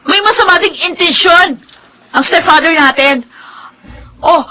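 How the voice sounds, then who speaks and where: A high, piercing voice for a defiant elder sister in a radio drama. She has a Filipino accent and speaks with a bitter, indignant edge. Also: a little slow, pushed and bright, heavily acted, poor audio.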